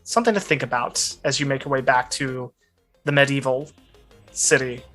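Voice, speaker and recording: A man narrating an improvised tabletop game as game master, in a measured storytelling manner. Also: mid-range voice, sharp tone, faint music behind.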